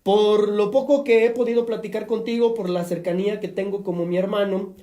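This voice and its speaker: A man's voice: high voice, level tone, a little fast, mellow, echoey room. A man with a Mexican accent, a priest hosting a live-streamed religious talk show, chatty and preachy with joking asides. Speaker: priest and program host.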